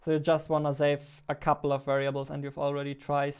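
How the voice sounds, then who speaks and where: A man, chatting casually on a livestream while he works, thinking aloud in a halting way. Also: a little high, monotone, a little mellow, clear, resonant tone. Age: 20s. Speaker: game developer and streamer. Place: indoor room at a computer, keyboard clicks behind.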